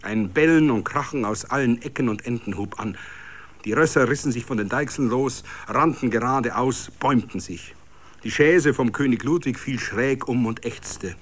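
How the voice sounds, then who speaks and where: A man's voice: medium pitch, normal speed. A man with a Bavarian accent reads a literary story as dramatic scripted narration.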